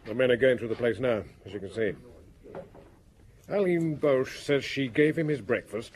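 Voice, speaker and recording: An actor playing a matter-of-fact police officer in a radio drama, giving methodical, factual reports. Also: male voice, mid-range voice, moderate pace, a little mellow, slight background noise, close to an everyday voice. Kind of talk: British radio drama acting.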